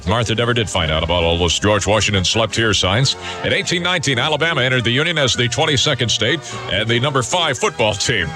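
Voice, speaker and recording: A man working as a morning radio DJ in a studio, reading time checks and weather and cracking quick jokes with chuckles. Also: mid-range voice. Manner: joking, quick one-liners with chuckles. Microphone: studio broadcast mic.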